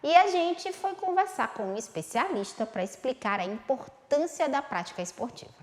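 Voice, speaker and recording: A woman anchoring a regional TV newscast, reading the news in a clear, lively way. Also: high voice, normal speed, big-room sound. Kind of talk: scripted news presenting.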